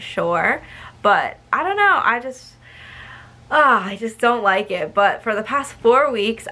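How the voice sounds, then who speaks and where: A young woman talks straight to her viewers in a relaxed vlog. Her voice sits at a middling pitch but sounds bright and crisp. She rambles a little, filling pauses with ums and likes. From United States. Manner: chatty, with frequent ums and likes. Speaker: vlogger. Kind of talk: casual vlog monologue.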